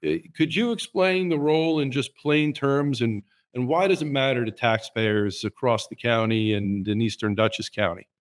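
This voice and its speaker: A man's voice: medium pitch, moderate pace, hardly any pauses. A man hosting an interview, friendly and upbeat as he welcomes and questions his guest. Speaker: interview host.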